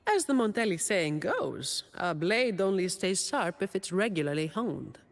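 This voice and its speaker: A young woman warrior from a video game, dutiful and a little weary. She is dry, composed and sure of herself in a fight. Her delivery is unhurried with wide swings in pitch, and a long reverb trails the voice. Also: very animated. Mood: wry, composed. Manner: dry and composed, confident in battle. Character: dutiful, weary, wry young woman guardian with sword and shield. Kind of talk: video game character voice lines.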